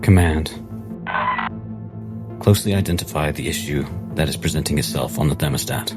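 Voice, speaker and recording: Super deep guy doing rpg roleplay, formal n measured, loud music under him. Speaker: player in a tabletop roleplay game. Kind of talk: in-character roleplay and narration.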